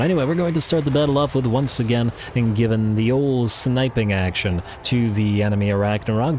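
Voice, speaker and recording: A man hosting a let's play, giving chatty, excitable play-by-play of a strategy battle while losing his voice a little. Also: lowish voice, background noise. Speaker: gaming channel host.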